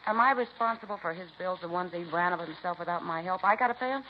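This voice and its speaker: An actress playing a bitter, blunt working-class wife who complains in slangy speech, in 1950s radio drama style. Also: female voice, fairly low pitch, quick pace, lightly acted. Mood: aggrieved. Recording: muffled, noisy 1950s radio recording.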